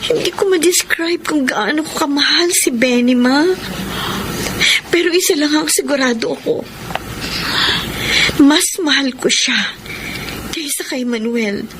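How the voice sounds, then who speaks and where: An actress playing an anguished wife torn between duty and love, emotional and pleading, in Tagalog radio drama. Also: female voice, high-pitched, measured pace, pauses often, noisy background. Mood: earnest confession. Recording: music behind the voice.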